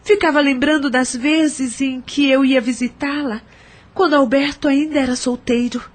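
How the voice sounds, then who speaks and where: Troubled Brazilian mother confessing her regrets, played by an actress, high voice, earnest audio drama. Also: fairly even intonation, moderate pace, close to an everyday voice. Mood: troubled, remorseful. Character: troubled, remorseful adult woman, estranged mother confessing.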